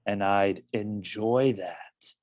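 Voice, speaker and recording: A man playing a gloomy, joyless donkey in a stage script reading, with a deadpan, morose manner. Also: on the low side, drawn-out words, no room sound, studio quality.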